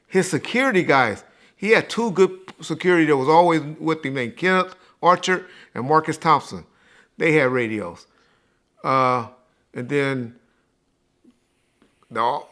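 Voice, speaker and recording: A man's voice: a little high, fairly bright tone. An American man hosting a podcast, talking casually in an informal, slangy, emphatic way as he recalls things. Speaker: podcast host. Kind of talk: casual recollection and chat.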